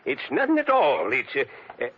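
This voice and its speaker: An actor playing a patronizing, hesitant, flustered bank president with a husky voice in an old radio drama. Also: male voice, medium pitch, huge pitch swings, unhurried, heavily acted. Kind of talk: 1940s radio acting. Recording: dull old radio recording.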